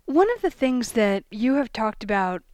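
Measured woman radio host. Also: on the high side, big pitch swings, darkish tone, no echo, studio quality. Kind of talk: radio interview hosting.

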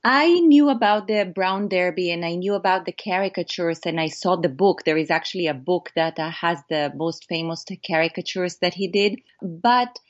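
A woman with an Israeli accent, a documentary filmmaker answering interview questions in earnest, animated storytelling. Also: fairly low pitch, moderate pace, hardly any pauses, lo-fi sound.

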